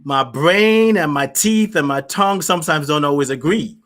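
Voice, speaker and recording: A man's voice: on the high side, normal speed, a bit bright. A man from Nigeria, hosting a podcast and asking long, thoughtful, searching questions. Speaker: podcast host. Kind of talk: reflective conversation.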